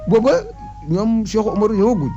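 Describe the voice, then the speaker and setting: High-voiced man reciting history, muffled recording. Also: moderate pace, warm tone. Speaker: oral historian. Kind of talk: recounting history and lists of kings in conversation. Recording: muffled lo-fi audio with music behind. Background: music.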